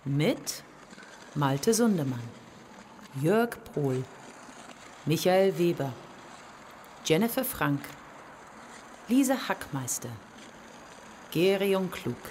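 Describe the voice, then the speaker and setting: A woman's voice: on the low side, a little mellow, a little hiss or hum. A woman announcer reading scripted credits for a radio play.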